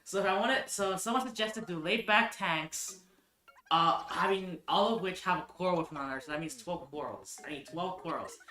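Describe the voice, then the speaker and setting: Bright-voiced, rambling live streamer. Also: medium pitch, moderate pace, echoey room, rough recording. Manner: rambling, thinks aloud, frequent restarts. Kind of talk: casual live-stream chat while gaming.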